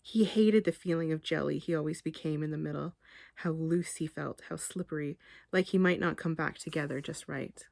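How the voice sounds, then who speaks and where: A woman author reading her short story aloud in a measured, expressive literary narration. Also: deep voice, creaky, no echo.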